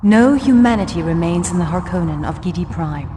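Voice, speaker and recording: An actress playing a solemn, ominous, measured female narrator in a science fiction game cutscene. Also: fairly low pitch, lively intonation, background noise, lightly acted. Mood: grave, ominous. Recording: long reverb, music behind.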